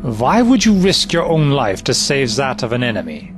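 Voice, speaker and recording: A man's voice: medium pitch, very animated, moderate pace, close to an everyday voice. A man playing a cold, clipped German officer. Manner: clipped, commanding, formal phrasing. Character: stern male German officer, cold and suspicious. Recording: audio drama with music underneath.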